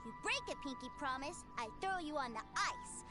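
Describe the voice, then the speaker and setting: A woman's voice: expressive, moderate pace. A woman voicing an innocent, stubborn little boy in a video game, childlike, earnest and simply boastful. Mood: cheerful, earnest. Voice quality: childlike, high. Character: innocent, trusting, stubborn little boy who loves toys. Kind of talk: video game character dialogue. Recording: loud music behind the voice.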